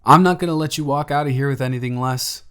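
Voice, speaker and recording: A man playing a gentle, teasing single dad. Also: medium pitch, fast, clear, resonant tone, close to an everyday voice. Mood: tender, playful. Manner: soft-spoken, gently teasing. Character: warm grateful single dad, playful young man. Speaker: audio drama performer. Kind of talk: romantic audio roleplay.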